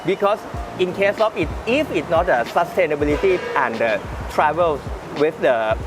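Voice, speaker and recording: A man with a Thai accent hosting an interview, phrasing his English questions in a halting, roundabout second-language way. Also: a little high, animated. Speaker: host interviewing a guest. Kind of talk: conversational interview. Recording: music under the voice. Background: background music.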